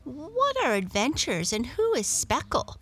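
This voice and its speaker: A curious, chatty house cat, as a woman reads her aloud in a storybook, her voice pitched well up and lively as it leaps between notes. She asks eager, excited questions. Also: measured pace, husky, exaggerated voice.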